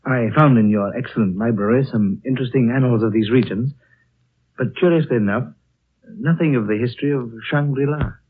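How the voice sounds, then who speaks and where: Cultured, mild English gentleman played by a man, medium-pitched and husky, formal manners, lo-fi vintage radio drama. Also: brisk, natural voice. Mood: respectful, curious. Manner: polite, diffident, formal. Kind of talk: 1930s radio drama acting. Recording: muffled, narrow-band old radio broadcast.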